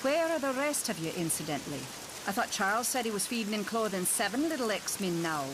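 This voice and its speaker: A woman's voice: medium pitch, lively intonation, fairly quick, noisy background, lightly acted. A woman playing a middle-aged doctor and ex-wife in a motion comic, sardonic and wry. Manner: sarcastic, wry. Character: sardonic, bitter Scottish doctor, mother and ex-wife.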